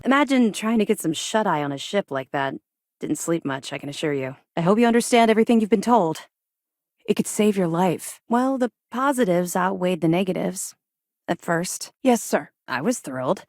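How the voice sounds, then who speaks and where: An actress playing a disciplined, earnest space-navy officer with a crisp military manner in a video game. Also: female voice, on the low side, animated, normal speed, dry recording, studio quality. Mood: wry, reflective. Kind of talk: video game character dialogue.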